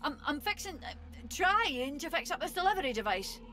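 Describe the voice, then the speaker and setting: A jittery, defensive tinkerer woman who guards her work, read aloud by a woman during a co-op game. The voice sits a little high, and soft game music plays underneath. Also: very animated, normal speed, full character voice. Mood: guarded. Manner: reading game dialogue aloud in character. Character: defensive, nervous woman tinkerer fixing a weapon. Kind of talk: co-op game playthrough.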